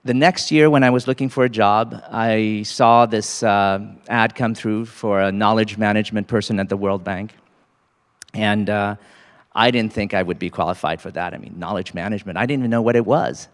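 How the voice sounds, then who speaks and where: A man in his forties from Cambodia, an associate dean giving a casual, halting talk to students with many fillers. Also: on the low side, fairly even intonation, in a large hall. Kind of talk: faculty panel talk to students.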